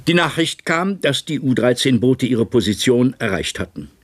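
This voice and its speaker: A watchful colleague recounting tense events in a radio drama. His voice is husky and mid-pitched, his measured and restrained. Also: expressive. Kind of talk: radio play narration in German.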